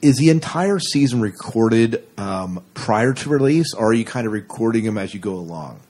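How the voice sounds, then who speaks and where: Low, husky male podcast host. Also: animated, normal speed, a little mellow. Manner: rambling. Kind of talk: casual interview chat.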